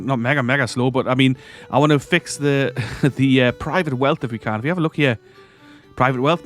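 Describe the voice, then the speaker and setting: Man with a stuffy cold, rambling. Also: medium pitch. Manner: rambling, self-correcting, chuckles often. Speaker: strategy game let's-play host. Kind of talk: casual gameplay commentary.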